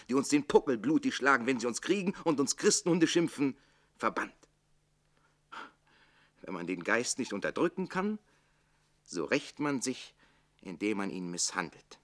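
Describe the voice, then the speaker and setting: An actor playing a jealous, quick-witted servant in a radio play, declaiming bitterly and rhetorically against the nobility. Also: male voice, mid-range voice, natural voice. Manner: declamatory, rhetorical, swinging between hushed and outraged. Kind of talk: theatrical German radio play monologue.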